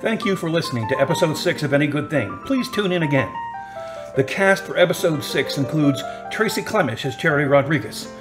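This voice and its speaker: A man's voice: a little high, animated, brisk. Male radio drama narrator over music.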